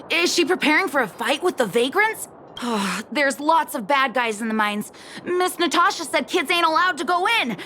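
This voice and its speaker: Worried little kid in a game, high bright voice, earnest, faint noise behind. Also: female voice, heavily acted. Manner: childlike, earnest. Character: anxious naive young child pleading for help. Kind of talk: video game voice acting.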